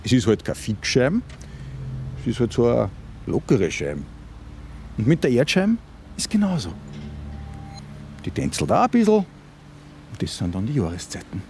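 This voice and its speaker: Domineering conspiracy believer, Austrian accent, animated pitch, insistent, condescending, colloquial radio drama. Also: male voice, moderate pace, vocal fry, long pauses, slight background noise. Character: domineering flat-earth conspiracy believer, a man turned kidnapper. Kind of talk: contemporary radio play acting.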